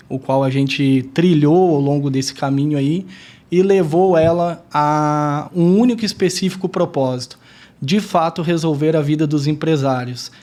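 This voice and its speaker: A man, a business guest on a podcast, introducing himself in an earnest, measured, somewhat formal way. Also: a little high, a little mellow. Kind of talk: self-introduction in podcast interview.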